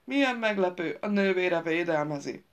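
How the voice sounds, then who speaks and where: A woman's voice: lowish voice, lively intonation, natural voice. A woman voicing a complaining, agitated young man who whines and accuses the others, in an audiobook. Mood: indignant whining. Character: whiny indignant young man, a rabble-rouser. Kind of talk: audiobook character voice.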